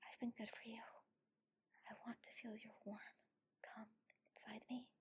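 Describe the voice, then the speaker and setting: A woman acting a very breathy, whispering warrior lover. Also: on the high side, level tone, no echo. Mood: urgent, pleading. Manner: slow, pleading, coaxing. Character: submissive, teasing adult female warrior lover. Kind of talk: intimate erotic audio roleplay.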